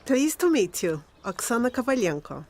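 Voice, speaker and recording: A blunt Ukrainian refugee neighbor. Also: female voice, on the high side, very animated, normal speed, darkish tone. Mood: blunt, bossy. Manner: blunt, commanding, broken English. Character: blunt, bossy, motherly Ukrainian woman refugee. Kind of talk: radio play acting.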